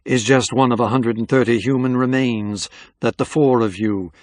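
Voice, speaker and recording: A man voicing an unflappable FBI agent in an audiobook, with a Southern US accent and smooth, formal, measured speech. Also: mid-range voice, steady tone, no echo, close to an everyday voice. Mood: calm, authoritative. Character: cool, insolent male Southern FBI special agent.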